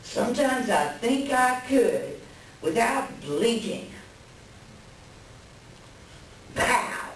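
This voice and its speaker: A woman's voice: on the high side, sing-song, slow pace. A woman playing a world-weary, blunt mother fed up with her husband in a folksy play reading. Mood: exasperated. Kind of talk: staged play reading, conversational dialogue. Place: library reader's theater reading. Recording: echoey room from far off, faint noise.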